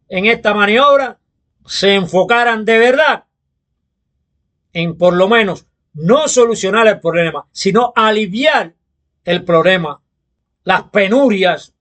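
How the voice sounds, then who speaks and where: A man from Cuba with a Cuban accent, delivering a political opinion monologue in a deliberate, emphatic manner. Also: high voice, a bit bright. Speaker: political commentator.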